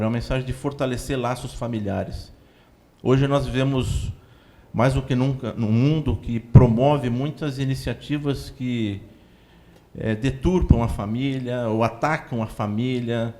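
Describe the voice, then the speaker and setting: A man with a Brazilian accent, a church spokesman giving long, steady explanatory answers in a TV interview. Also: medium pitch, normal speed. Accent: Brazilian Portuguese.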